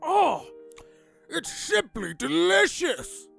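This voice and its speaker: Anime spoof father, male actor: gentlemanly, excitable, theatrical, swooping pitch, very slow delivery. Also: gravelly, soft background music, exaggerated voice. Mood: gluttonous delight. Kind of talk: anime parody dub.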